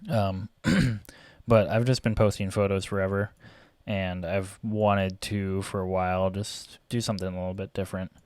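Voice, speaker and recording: A young man with a low voice talks through his photo editing in a loose, halting ramble, full of fillers and throat-clearing. His voice has gone a little raspy from talking. The sound is close and dry on a desk mic. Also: fairly even intonation, darkish tone, no echo. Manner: hesitant, many fillers and restarts, clears throat often. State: voice getting raspy. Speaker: photographer and vlogger. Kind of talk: casual rambling commentary while photo editing.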